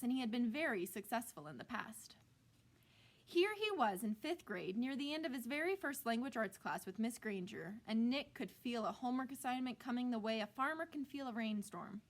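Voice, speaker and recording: A woman narrating a children's book like a teacher reading aloud, expressive and deliberately phrased. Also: fairly high pitch.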